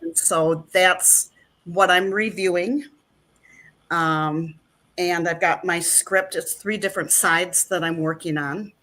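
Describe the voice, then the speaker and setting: A woman's voice: on the low side, steady tone, slow, bright, forceful tone. A middle-aged woman chatting as an interview guest, rambling with plenty of fillers. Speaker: actress and guest. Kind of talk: casual interview chat.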